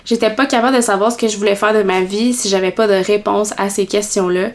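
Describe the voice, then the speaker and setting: A woman in her twenties with a Québécois accent, telling her personal faith story in a vlog with many pauses and fillers. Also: medium pitch, fairly even intonation. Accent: Québécois French. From Quebec, Canada. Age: 20s.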